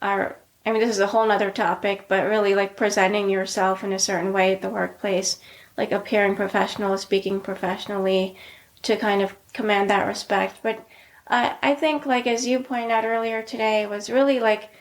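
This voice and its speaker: A middle-aged woman, a pediatrician co-hosting a podcast, talking casually in a soft voice with many "like" fillers. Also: mid-range voice, moderate pace. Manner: soft-spoken, many "like" fillers, steady even delivery. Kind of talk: casual conversational chat.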